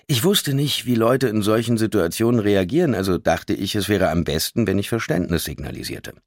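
A man's voice: on the low side, expressive, fairly quick, a little mellow, no room sound, clean studio sound. An actor reading a crime novel as its uneasy male first-person narrator, in a scripted storytelling style with acted dialogue. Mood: narrating, tense. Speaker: audiobook narrator.

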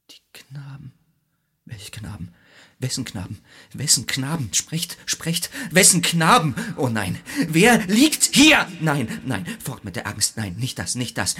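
An actor playing an anguished Greek hero in a theatrical radio drama, husky and gravelly, halting and declamatory between whispers and cries. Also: male voice, mid-range voice, sharp tone, exaggerated voice. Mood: stricken, hushed, then panicked.

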